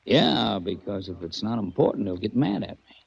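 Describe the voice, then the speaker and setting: Gravelly, dim hulking orderly. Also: male voice, lowish voice, expressive, heavily acted. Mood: simple, coaxing. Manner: slow-witted, placating. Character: dim, gentle-mannered hulking male sanitarium orderly. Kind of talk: 1940s radio drama acting. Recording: muffled 1940s radio recording.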